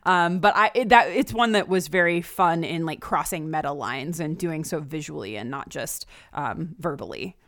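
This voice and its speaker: A woman's voice: low-pitched, moderate pace, few pauses, a bit bright. A woman guest co-hosting a casual pop culture conversation in a friendly, appreciative way. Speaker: podcast guest co-host.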